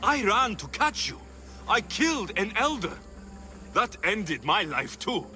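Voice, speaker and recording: A man's voice: high-pitched, sing-song, measured pace, pushed and bright, exaggerated voice. A man playing a resolute, earnest Greek mercenary hero in a cinematic video game. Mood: earnest, pleading. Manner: resolute, earnest, dramatic delivery. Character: battle-hardened Greek mercenary hero, earnest, defiant older brother. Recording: music under the voice.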